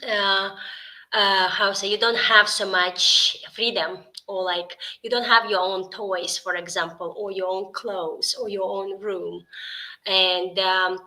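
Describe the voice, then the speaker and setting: Bright-voiced woman. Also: medium pitch, low-quality recording. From Russia. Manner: halting non-native English, full of "like" and "you know". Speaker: podcast guest. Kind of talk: casual interview conversation.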